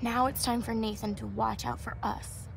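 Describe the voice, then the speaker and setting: Devout young woman student, gentle, high-ish voice, earnest, video game dialogue, noticeable background ambience. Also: flat delivery, normal speed, creaky, a little hiss or hum. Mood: determined. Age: young adult. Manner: soft-hearted, earnest, sincere. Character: gentle, devout young female student, fragile but hopeful.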